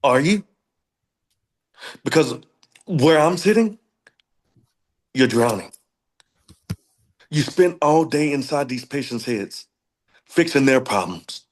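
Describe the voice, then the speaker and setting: A man's voice: mid-range voice, animated, slow, long pauses. A man reading the part of an exhausted, wounded husband confronting his wife at a drama table read. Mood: confrontational.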